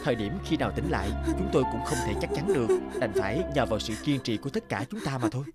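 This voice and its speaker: Guy playing a calm serious cartoon doctor, formal and measured, music behind. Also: medium pitch, lightly acted. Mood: grave, calm. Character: calm, grave male hospital doctor. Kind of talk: cartoon dubbing. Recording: music under the voice.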